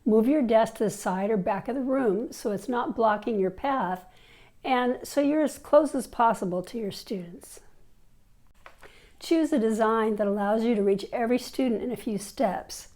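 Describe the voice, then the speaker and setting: Teacher with a cold, chatty and high. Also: female voice, normal speed, mellow. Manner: chatty, with fillers and restarts. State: has a cold. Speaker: language teacher. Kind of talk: online teacher workshop. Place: indoors, seated in an office chair before a plain wall. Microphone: lapel mic.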